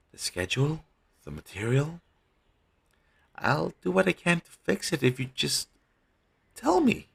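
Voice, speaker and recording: A man playing a caring, teasing talent manager, coaxing a troubled actor in a one-sided audio roleplay with a low-quality sound. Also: vocal fry, slightly rough, full character voice. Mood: concerned, coaxing. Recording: low-quality amateur recording.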